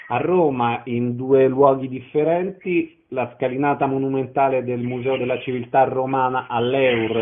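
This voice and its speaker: A man's voice: mid-range voice, phone-quality sound, slight background noise. Male radio host, mid-range voice, orderly presenter phrasing for introductions and interview questions.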